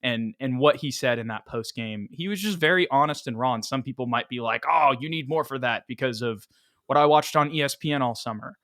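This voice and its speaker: A man's voice: mid-range voice, a little fast, a bit bright, no echo, studio quality. A man co-hosting a sports podcast, chatting casually and animatedly, laughing often and quoting others in playful voices. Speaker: podcast co-host.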